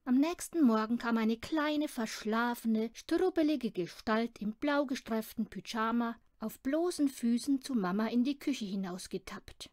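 Female children's audiobook narrator, higher register, close dry sound. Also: measured pace, few pauses, a little mellow, dry recording. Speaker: audiobook reader. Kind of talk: scripted children's story narration.

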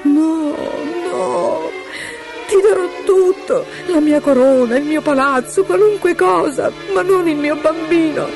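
A woman's voice: mid-range voice, lively intonation, measured pace, music in the background, pitched above the performer's own voice, close to an everyday voice. A woman voicing an anxious young woman in a fairy tale, plaintive and pleading. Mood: begging. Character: anxious young woman, pleading miller's daughter turned queen. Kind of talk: fairy-tale storybook character voice.

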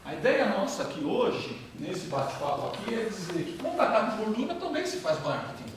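A man's voice: sits high, normal speed. Man lecturing in an echoey room. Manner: animated, questions the audience directly. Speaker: guest speaker. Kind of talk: interactive lecture. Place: large brick-walled lounge with an audience. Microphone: far camera, no mic. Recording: echoey room from far off, noisy.

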